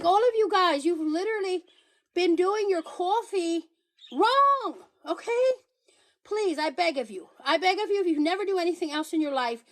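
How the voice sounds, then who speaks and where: A woman's voice: very high voice, a bit bright. A woman hosting a casual livestream, sassy and emphatic, pleading with and teasing her viewers while playfully switching accents. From Paraguay. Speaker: livestream host promoting products.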